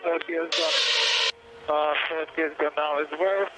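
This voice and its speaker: High-pitched male driver over loud engine noise. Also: fairly even intonation, normal speed. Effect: team radio. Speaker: racing driver. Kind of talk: terse in-race team radio messages. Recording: loud engine noise, crackly race team radio.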